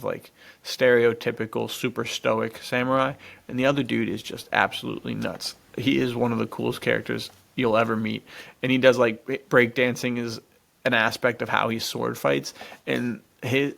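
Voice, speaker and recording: A young American man co-hosts a podcast in loose, slangy chat. He sounds enthusiastic, with a noticeable creak in his mid-pitched voice. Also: fairly bright tone. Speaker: podcast co-host. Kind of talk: casual chat.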